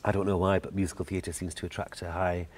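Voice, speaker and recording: A middle-aged man from the north-east of England with a broad Geordie accent, chatting as a guest in a relaxed interview. His voice sits low and mellow, with a warm, dark tone. He tells his stories with frequent restarts and easy laughs. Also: quick pace. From Newcastle, England. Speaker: guest choreographer. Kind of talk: casual interview chat.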